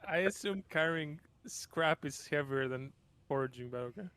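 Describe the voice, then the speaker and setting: A man's voice: a little high, moderate pace, a bit bright, clean tone, no room sound. A man chatting casually as a player at a tabletop game.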